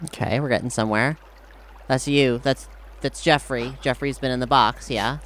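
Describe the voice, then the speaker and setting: Guy playing an rpg, mid pitch, thinks out loud in fits and starts, some noise behind. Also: steady tone. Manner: halting, thinking aloud. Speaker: tabletop role-playing game player. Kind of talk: improvised in-character play and narration.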